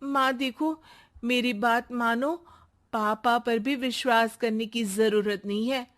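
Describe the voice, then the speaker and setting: A woman's voice: a little high, fairly even intonation, slow, a bit bright. A woman playing a scheming, falsely sweet young daughter in a moral story, with a wheedling way of talking. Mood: insinuating worry. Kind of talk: acted moral story dialogue.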